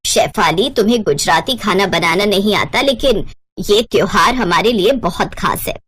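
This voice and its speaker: A woman voicing a middle-aged mother-in-law in an animated storybook tale, firm but encouraging as she instructs. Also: mid-range voice, normal speed, a little hiss or hum, close to an everyday voice. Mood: instructing.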